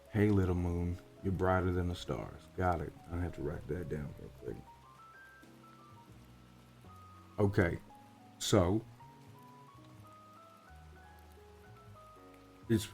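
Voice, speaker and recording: A man voicing a gruff, world-weary orc private investigator in a soft-spoken roleplay, with a folksy, wry drawl. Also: low-pitched, normal speed, soft background music. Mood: gentle, resigned. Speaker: voice actor in roleplay audio.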